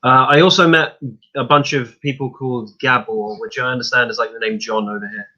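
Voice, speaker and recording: Man, casual podcast talk, rambling and loose, bright tone, slightly muffled lo-fi sound. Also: medium pitch, brisk. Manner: relaxed, rambling, with many fillers and restarts.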